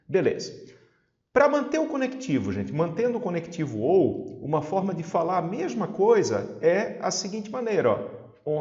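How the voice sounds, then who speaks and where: Animated southern Brazilian man teaching, roomy sound. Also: a little high. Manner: chatty, didactic, frequent check-in questions. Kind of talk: informal exam-prep logic lesson. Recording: roomy echo, slightly dull sound.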